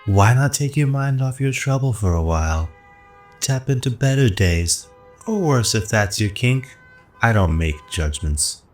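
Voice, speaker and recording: A man playing a roguish, smooth-talking fortune teller in a radio drama, sly and teasing. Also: medium pitch, lively intonation, a little slow, faint music behind, natural voice. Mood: enticing. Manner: sly, teasing, unruffled salesman patter.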